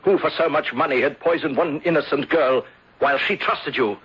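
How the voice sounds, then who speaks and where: Vengeful accuser, radio drama: husky, bitter, deliberate and theatrical, muffled old recording. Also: male voice, on the high side. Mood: accusing. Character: vengeful bereaved man unmasking his beloved's murderer, accusing and bitter. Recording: dull lo-fi vintage radio recording.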